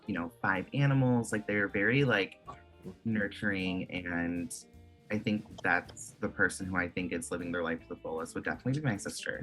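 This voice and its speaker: A young man from Michigan in his twenties, talking in a loose, chatty way and leaning on "like" constantly. His voice sits a bit low but sounds bright and forward. Faint music hums underneath. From Michigan, USA. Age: 20s. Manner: chatty, lots of "like" fillers. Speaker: guest. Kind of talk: casual interview chat.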